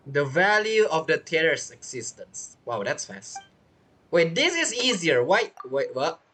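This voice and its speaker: A woman's voice: low-pitched, sing-song, measured pace, a bit bright, breathy edge. A woman who is not a native English speaker, giving casual game commentary and thinking aloud. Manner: thinks aloud, muttering reactions. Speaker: gameplay streamer. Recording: game music behind commentary.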